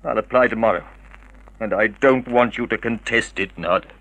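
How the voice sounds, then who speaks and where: An actor playing a proud, bitter wronged husband, formal and commanding, in vintage radio drama style. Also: male voice, lowish voice, moderate pace, fairly bright tone, low-quality recording. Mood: cold, bitter. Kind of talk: 1950s radio drama acting.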